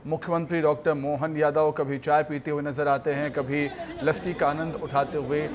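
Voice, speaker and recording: Male news anchor, higher-than-average pitch, bulletin delivery. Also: flat delivery, moderate pace, crowd noise, noisy background. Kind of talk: news presenting.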